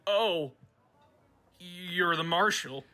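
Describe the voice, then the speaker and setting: Western radio bully, male actor: piercing, high, drawn-out taunts, folksy and belligerent. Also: sharp tone, exaggerated voice. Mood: flustered. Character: belligerent, goading gunslinging bully picking a fight. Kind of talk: amateur western radio play acting. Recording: student radio play with background music.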